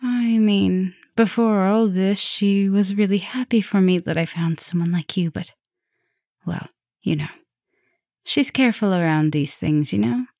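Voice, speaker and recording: A woman playing a tender, gently dominant vampire professor in an intimate ASMR roleplay, speaking softly and soothingly, often slipping toward a whisper. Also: medium pitch, no echo, clean studio sound, close to an everyday voice. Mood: calm, cautioning. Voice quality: soft, breathy, often dropping to a whisper. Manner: slow, soothing, affectionate murmuring with pauses.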